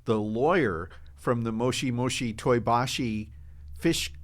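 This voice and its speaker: A woman's voice: rumbling low, unhurried. A woman chatting casually as a podcast panelist, agreeing often and adding remarks on the production. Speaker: podcast panelist from an audio drama team. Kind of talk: casual chat, short agreeing interjections.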